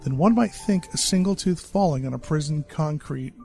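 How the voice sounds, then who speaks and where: Gravelly guy reading a creepy story, deadpan, bit of music behind. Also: a little high, moderate pace. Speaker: narrator. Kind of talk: horror story narration.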